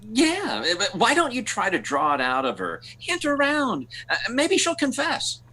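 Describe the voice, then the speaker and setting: A man playing a steady friend who calms a nervous husband, with hesitant starts, in old-time radio comedy style. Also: extremely high pitch, measured pace, heavily acted, rough recording. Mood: amused, advising.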